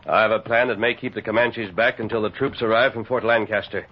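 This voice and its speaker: An actor playing a steady, earnest, authoritative cowboy hero in an old-time radio western. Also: male voice, lowish voice, fairly quick, lightly acted. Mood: grave, commanding. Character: steady, commanding heroic masked cowboy lawman. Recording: muffled, noisy old radio recording.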